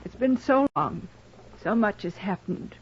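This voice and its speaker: An actress playing a guarded, earnest woman back from long captivity in a 1950s radio drama, speaking formally and haltingly. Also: medium pitch, expressive, husky. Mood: weary, anxious. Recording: dull vintage radio recording.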